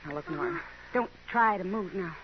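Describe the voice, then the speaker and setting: A woman's voice: mid-range voice, big pitch swings, normal speed, lightly acted. An actress playing a tender, worldly frontier saloon woman, plain-spoken and concerned, in a 1950s radio Western. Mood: tender, worried. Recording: noisy, dull 1950s radio recording.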